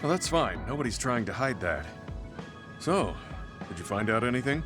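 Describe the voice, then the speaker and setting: A man playing a stoic, skeptical veteran soldier in a video game, with a grave, measured delivery. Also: mid-range voice, sing-song, natural voice. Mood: reserved. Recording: music behind dialogue. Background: game soundtrack music.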